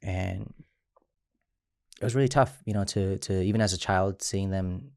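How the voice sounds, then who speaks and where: A man in his thirties from Mexico, an immigrant rights organizer speaking in an interview, halting with frequent restarts. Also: low voice, vocal fry, darkish tone, smooth, dry recording, studio quality. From Chiapas, Mexico. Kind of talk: interview conversation.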